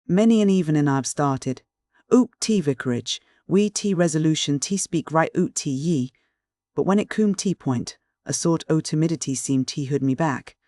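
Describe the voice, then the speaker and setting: A man voicing a rough, earnest shepherd with a husky Northern English dialect, blunt and stubborn. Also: on the high side, normal speed, dark tone, no echo, clean studio sound, lightly acted. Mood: pleading. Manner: blunt, pleading, dialect-heavy.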